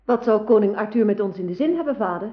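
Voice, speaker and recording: An actress playing an innocent, obedient young princess in a radio play, demure and naive in manner. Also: female voice, mid-range voice, lively intonation, fast talker, close to an everyday voice. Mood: shy, obedient. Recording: dull old radio drama recording.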